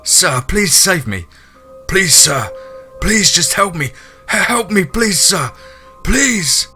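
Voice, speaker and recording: A man's voice: high-pitched, sing-song, takes it slowly, pushed and bright, faint music behind, pitched above the performer's own voice, exaggerated voice. A man voicing a desperate, tearful man who begs repetitively for his life in a strained voice, in a horror story. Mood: desperate pleading. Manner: repetitive, breathless begging.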